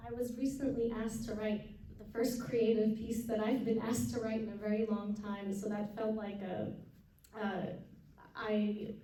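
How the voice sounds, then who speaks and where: A woman translator at a book event, answering an audience question in a halting, thoughtful way with many restarts. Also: medium pitch, flat delivery, warm tone, slight background noise. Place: event hall. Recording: echoey hall, distant mic, faint murmur.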